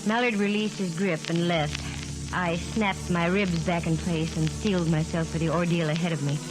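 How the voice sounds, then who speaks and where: A woman's voice: on the low side, fairly even intonation, moderate pace, lightly acted, rough recording. An American actress playing a wisecracking yet tender female private eye in a 1940s radio drama, both acting and narrating. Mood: wry, steeled. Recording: old 1940s radio recording, music behind.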